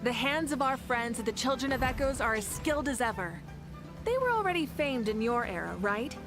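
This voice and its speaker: A woman's voice: on the high side, very animated, brisk. An actress playing a confident, fun-loving woman warrior leader in a video game, bold and breezy in manner. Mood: upbeat, confident. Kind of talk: video game character acting. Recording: game music behind the voice.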